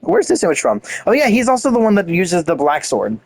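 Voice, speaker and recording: A man's voice: sits high, huge pitch swings, a little fast, creaky. A man reading game lore aloud and commenting casually and enthusiastically, with some casual swearing. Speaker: gaming content creator.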